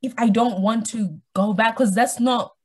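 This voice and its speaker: A woman's voice: on the high side, moderate pace. A young woman from Australia, born in Zimbabwe, talking casually as a podcast guest and acting out bits of dialogue with colloquial slang. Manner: animated storytelling, acts out imagined dialogue, colloquial slang. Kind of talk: casual conversational interview.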